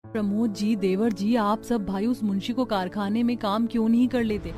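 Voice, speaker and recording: A woman's voice: medium pitch, fairly even intonation, moderate pace, dark tone, soft background music, natural voice. A woman voicing practical, outspoken young village daughters-in-law in a cartoon family story. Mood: persuading. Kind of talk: Hindi cartoon story dialogue.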